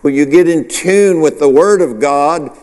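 A man's voice: fairly high pitch, unhurried, darkish tone, big-room sound. A male pastor preaching a sermon, asking the congregation questions and answering them himself. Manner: preacherly call-and-response, rhetorical questions.

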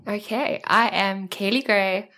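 A woman's voice: mid-range voice, measured pace, bright, forceful tone. A woman with a South African accent chatting as a podcast guest, thinking aloud as she talks. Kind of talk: casual interview chat.

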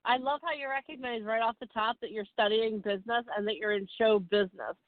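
A woman's voice: fairly high pitch, brisk, no echo. Tinny phone-line woman podcast host, gushing. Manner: gushing, excited. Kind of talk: podcast interview. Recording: muffled phone-line sound.

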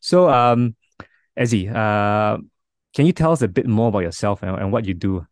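A man's voice: lowish voice, dry recording, studio quality. A man in his forties hosting a podcast, talking casually with many restarts. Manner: halting, many restarts and fillers. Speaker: podcast host.

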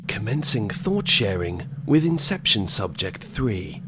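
A man's voice: fairly low pitch, very animated, unhurried, background noise. A man playing an earnest nonhuman observer in an audio drama, speaking in formal, precise wording. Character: earnest, precise nonhuman observer who shares thoughts telepathically.

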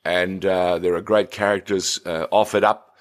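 Middle-aged man, lowish voice, playful teasing podcast co-host. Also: level tone, moderate pace. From Australia. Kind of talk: casual chat.

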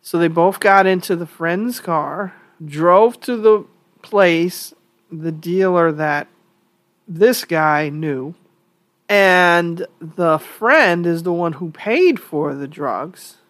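A woman's voice: lowish voice, slow, dry recording. A woman hosting her own opinion podcast, still a little congested from a cold, talking in a conversational, opinionated way. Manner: conversational and opinionated, thinking aloud with fillers. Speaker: podcast host. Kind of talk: solo opinion podcast monologue.

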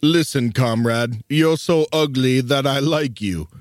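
A man's voice: medium pitch, unhurried, no room sound, clean studio sound, close to an everyday voice. Man acting a cocky, condescending storybook animal. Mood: saucy, chummy. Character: cocky male farm-tale animal, saucy and condescending.

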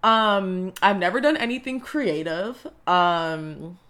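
A woman's voice: lowish voice, slow pace. Earnest young woman hosting a podcast. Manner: earnest, reflective, with soft laughs. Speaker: podcast host. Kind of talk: personal monologue.

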